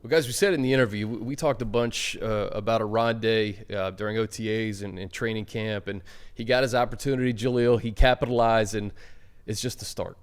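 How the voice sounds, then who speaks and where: A man's voice: fairly low pitch, fairly even intonation, fairly quick, clear, resonant tone. A man working as a sports show host, speaking in a practised presenter's style. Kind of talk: casual hosting and scripted sponsor read.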